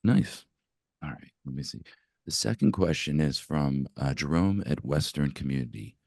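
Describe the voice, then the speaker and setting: A hoarse man with laryngitis moderates a webinar Q&A over a video call. His voice sits extremely low and sounds close and dry, and he moves through audience questions briskly. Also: animated, a little mellow, no room sound.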